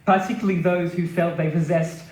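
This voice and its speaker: Male reader, higher voice, measured dramatic delivery of memoir narration, recorded live in a roomy space. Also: steady tone, darkish tone. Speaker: reader at a literary stage event. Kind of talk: dramatic reading of a scripted memoir. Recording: roomy, echoing live venue.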